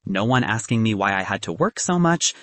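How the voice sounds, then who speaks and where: A man's voice: lowish voice, expressive, fairly quick, fairly bright tone, no echo, voice pushed down. A man voicing a candid, wry young woman physicist. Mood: wry, upbeat. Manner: dry, frank, advice-giving.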